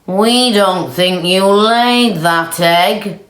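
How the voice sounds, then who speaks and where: A woman voicing a jealous, suspicious storybook cow in a slow, emphatic manner. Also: medium pitch, fairly bright tone, reverberant, voice pushed up. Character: suspicious, sulky, jealous farm cows.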